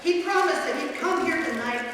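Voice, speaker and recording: A woman's voice: very high voice, animated, brisk, a bit bright. A woman playing a feisty, earnest street apple seller in a radio drama, with a folksy, insistent way of talking. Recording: echoey hall from far off, faint noise.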